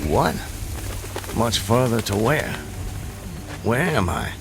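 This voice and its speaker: A man's voice: medium pitch, sing-song, slow pace, loud music behind the voice. An actor playing a bewildered, hesitant man walking home in an old-time radio drama, uneasy and questioning.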